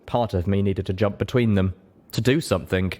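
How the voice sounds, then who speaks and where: A man's voice: on the low side, a little fast, a little mellow. A man reading scripted fiction aloud as a dramatic audiobook narrator. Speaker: audiobook reader.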